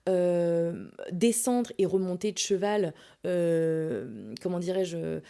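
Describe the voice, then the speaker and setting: Mellow-voiced woman telling a casual personal story. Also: on the low side, slow pace, vocal fry, smooth. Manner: animated, colloquial, self-deprecating. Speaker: podcast host.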